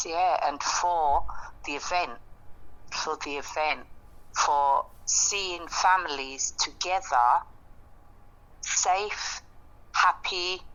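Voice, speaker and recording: A woman in casual, reflective conversation, speaking earnestly and haltingly as she works out her thoughts. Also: sits low, fairly bright tone, rough recording.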